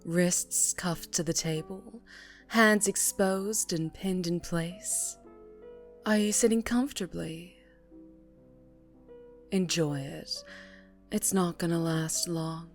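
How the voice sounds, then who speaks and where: A woman playing a dangerously charming female mafia boss in an ASMR roleplay, teasing and condescending with quiet, possessive menace. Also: on the low side. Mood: menacing, relishing.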